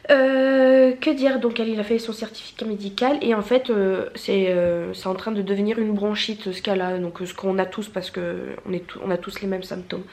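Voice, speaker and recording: A young woman with a stuffed-up nose from a cold talks casually to her viewers, her pitch sitting in an ordinary middle range. She rambles along, stopping and restarting sentences and filling gaps with hesitations. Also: fairly even intonation, moderate pace, few pauses, darkish tone. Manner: rambling, many fillers and restarts. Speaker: vlogger. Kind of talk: casual vlog chat to camera.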